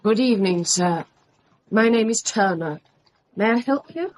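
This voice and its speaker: A woman's voice: medium pitch, expressive, a little slow, rough recording. A woman playing a formal, guarded Victorian household helper in a radio drama.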